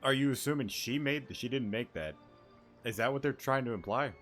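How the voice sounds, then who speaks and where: A man's voice: mid-range voice, brisk, fairly bright tone, faint music behind. A man giving casual, informal playthrough commentary as a game streamer. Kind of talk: casual playthrough commentary, reading dialogue aloud.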